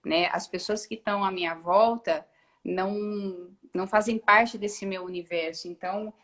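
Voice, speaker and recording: A woman speaks as a guest on a live-streamed video call. Her voice sits in an ordinary middle pitch. She talks reflectively, pausing on fillers and restarting phrases as she works through her thoughts. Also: fairly even intonation, fairly bright tone. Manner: reflective, many hesitations and restarts. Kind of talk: casual live-stream conversation.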